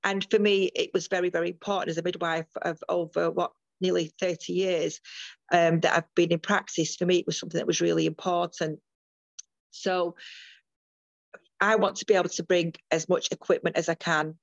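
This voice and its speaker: A woman's voice: lowish voice, flat delivery, fairly quick, gravelly, dry recording, dull audio. An older woman from the UK, a midwife, talking earnestly about her work as a guest in an interview, with frequent ums and restarts. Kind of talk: interview over a call.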